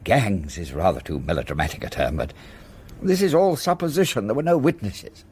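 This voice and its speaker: An actor playing an older, old-fashioned English solicitor in a radio play, speaking in a formal, guarded way with an English accent. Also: male voice, on the low side, animated, brisk, darkish tone, breathy edge.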